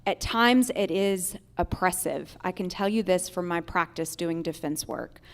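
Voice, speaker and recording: Woman candidate at a public forum. Smooth, resonant voice, measured formal delivery, emphatic on key points. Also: on the low side. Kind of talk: prepared political forum answers.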